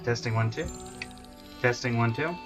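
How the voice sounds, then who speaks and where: A man streaming a video game in a casual, conversational way, often half-muttering to himself. His voice is mid-pitched, with game music playing behind him. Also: normal speed. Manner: muttering to himself while troubleshooting. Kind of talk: casual live stream commentary.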